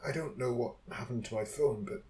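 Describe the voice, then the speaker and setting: An actor playing a gentle, shaken young man who speaks haltingly in an audio drama. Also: mid-range voice, lightly acted. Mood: hesitant, confused. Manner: hesitant, halting.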